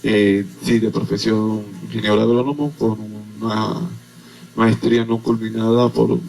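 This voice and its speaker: Gravelly man explaining calmly. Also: lowish voice, low-quality recording. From Venezuela. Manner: explanatory, unhurried with many hesitations. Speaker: agronomist guest. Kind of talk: radio interview.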